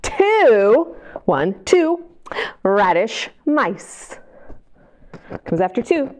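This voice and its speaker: A woman leading a children's storytime, her voice pitched a little high and swooping lively up and down as she reads a picture book aloud. She coaxes the kids along in an encouraging way, recorded through a camera mic. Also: drawn-out words. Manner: bright, encouraging, talks to kids. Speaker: children's librarian.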